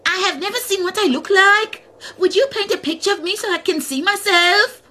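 A woman voicing a vain, cheerful ostrich in a children's story, in a shrill, excited voice. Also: moderate pace. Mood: curious.

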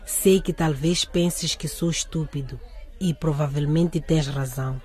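An actress playing an excitable, romantic teenage schoolgirl with a husky voice, in a radio drama. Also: low-pitched, measured pace, exaggerated voice. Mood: tender reading aloud.

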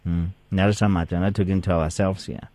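Easygoing radio host. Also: male voice, sits low, steady tone, a little fast, warm tone, dry recording. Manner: easygoing, self-deprecating, reads out contact numbers. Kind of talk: radio talk show hosting.

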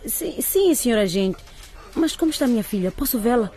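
A woman's voice: on the high side, lively intonation, normal speed, warm tone, close to an everyday voice. A woman playing an anxious, deferential young mother in a radio drama, polite and pleading.

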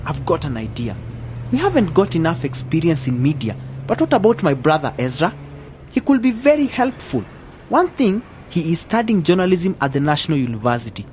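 A man's voice: a little high, sing-song, fairly quick, a little mellow, slight background noise. An actor playing an earnest, level-headed young man in a radio drama. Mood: eager. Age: young adult.

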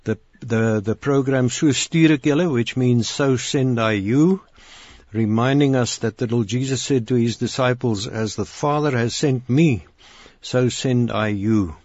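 A man hosting an interview programme, speaking haltingly with frequent pauses. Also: medium pitch, a little mellow. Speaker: radio interview host. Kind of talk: missionary interview programme with anecdotes. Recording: muffled, roomy radio recording.

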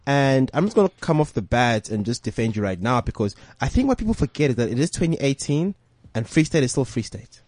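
South african guy hosting a podcast, tswana first language, animated and emphatic, pitch all over the place, dry mic. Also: no echo. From Johannesburg, South Africa. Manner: animated, emphatic, quick asides. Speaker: podcast host. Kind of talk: casual panel discussion.

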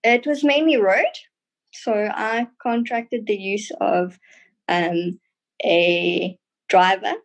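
A woman's voice: mid-range voice, steady tone, slow pace. A thirty-year-old woman with a South African accent, answering interview questions casually and a little hesitantly. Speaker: podcast guest, trained tour guide.

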